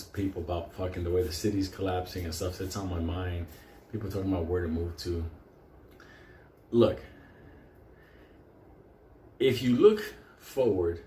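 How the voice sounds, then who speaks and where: A man's voice: fairly low pitch, darkish tone. Puerto Rican man, Spanish native, hosts his own show, rambles and swears, laughs a lot, echoey room with faint hiss. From Puerto Rico. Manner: rowdy, laughs often, swears constantly. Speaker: show host. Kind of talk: casual profane storytelling monologue.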